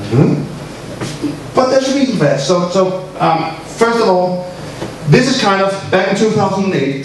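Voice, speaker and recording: A man from Copenhagen with a Danish accent giving an informal, irreverent conference keynote to an audience. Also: a little high. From Copenhagen, Denmark. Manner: irreverent, joking, sweary. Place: echoey indoor conference room. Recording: echoey room from far off, faint noise.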